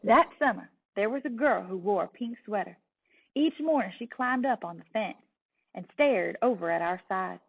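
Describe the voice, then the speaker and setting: Distant, creaky-voiced Southern woman reading aloud. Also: mid-range voice, animated, moderate pace. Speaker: teacher reading a picture book aloud. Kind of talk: expressive storybook read-aloud. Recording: distant, tinny phone-like sound.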